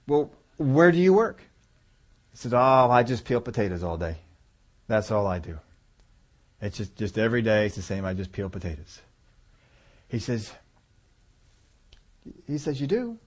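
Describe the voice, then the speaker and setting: A man telling a story in a sermon, folksy and chuckling now and then, dropping low and soft on key lines. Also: expressive, unhurried, long pauses.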